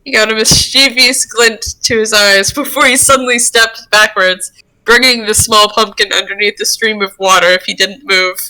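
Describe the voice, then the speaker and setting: Bright-voiced woman narrating a story. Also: medium pitch, steady tone, normal speed, low-quality recording. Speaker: roleplay reader. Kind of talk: narrating a story read aloud.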